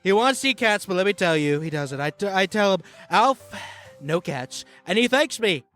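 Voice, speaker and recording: Man doing a high-pitched, bragging populist politician. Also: animated, normal speed, fairly bright tone, soft background music. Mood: boastful. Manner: folksy, boastful, repetitive asides. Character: bragging, rambling male populist politician running for president. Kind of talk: parody political campaign ad.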